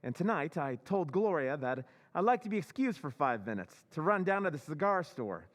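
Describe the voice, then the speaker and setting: An actor playing a smug, self-assured scheming husband in an old-time radio drama recreation. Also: male voice, on the high side, big pitch swings, normal speed, long reverb tail.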